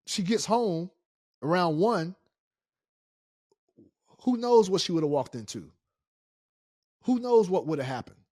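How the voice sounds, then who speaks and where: Higher-voiced American man telling a story. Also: dry recording, clean studio sound. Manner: animated, indignant, emphatic. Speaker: story-time show host. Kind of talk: casual personal storytelling.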